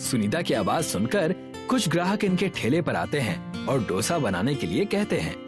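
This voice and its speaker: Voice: mid-range voice, very animated, moderate pace. A narrator reading a scripted moral story for children. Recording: music behind the voice.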